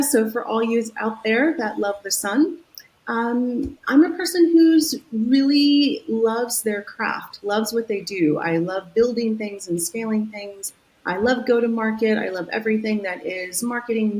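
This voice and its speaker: A woman's voice: fairly high pitch, unhurried, a little mellow, rough recording. A woman from San Diego with a Californian accent, a guest executive talking at length in a business podcast interview, upbeat and enthusiastic. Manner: upbeat, talks in long enthusiastic runs.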